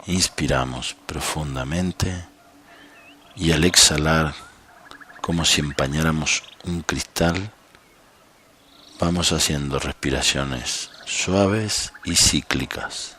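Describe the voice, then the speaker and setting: A man with an extremely low voice guides a meditation. He speaks very slowly and calmly, placing each short instruction between long pauses. Faint ambient noise with nature sounds sits behind him. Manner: calm, unhurried, leaves long pauses. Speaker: meditation coach.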